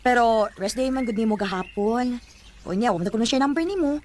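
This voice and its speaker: Babaye nga nag-arte og tabian nga amiga, taas kaayog tingog. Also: female voice, fairly high pitch, fairly quick, a little mellow, a little hiss or hum, close to an everyday voice. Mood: gossipy. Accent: Cebuano Bisaya. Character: chatty, gossipy female coworker and friend. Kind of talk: Bisaya radio drama acting.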